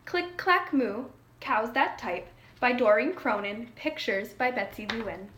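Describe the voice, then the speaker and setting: High-pitched, expressive woman reading to children. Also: unhurried, a little hiss or hum. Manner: expressive, addresses young listeners with questions. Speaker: storybook reader. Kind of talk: children's read-aloud narration. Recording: echoey room.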